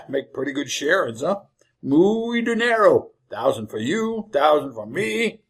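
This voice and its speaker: An actor playing a greedy, folksy wagon driver in an old-time radio western, talking in blunt rustic cowboy speech. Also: male voice, a little high, sing-song, unhurried, breathy edge, full character voice. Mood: scheming, eager. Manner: drawling rustic cowboy grammar, blunt, jovially callous.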